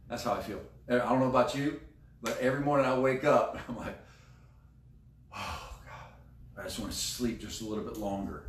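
American pastor, man preaching in echoey room. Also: mid-range voice. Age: middle-aged. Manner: emphatic, repeats key phrases. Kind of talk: sermon teaching, conversational. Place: indoor room beside a screen. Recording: roomy echo, distant microphone.

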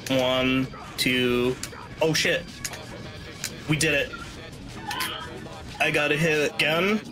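Young man, fairly high voice, casual speedrun commentary, explanatory, chatty, game music behind. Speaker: speedrunner playing live at a charity marathon. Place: small indoor room at home. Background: video game music.

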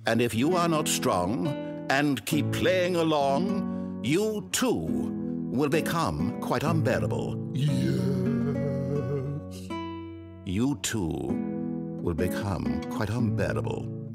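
A wry game narrator. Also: male voice, medium pitch, very animated, slow, gravelly, pauses often, over loud music, full character voice. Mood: sing-song rhyming. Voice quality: dry, teasing delivery with sly sarcasm. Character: smug male game narrator, wry and mocking.

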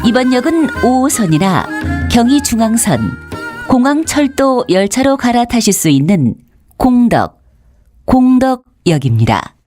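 High-pitched melodic female subway announcer. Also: sing-song, a little slow, over music. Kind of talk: scripted station announcement.

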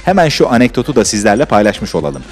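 Male narrator, scripted voice-over, animated, quick, soft music underneath. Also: fairly low pitch.

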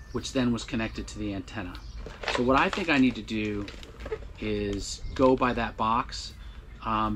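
An American man in his fifties hosting a casual hands-on DIY vlog, talking in a halting way as he thinks aloud, recorded on a boat by a camera in front of him. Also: on the low side. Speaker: video host. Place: outdoors on a small boat under a canvas top at a marina.

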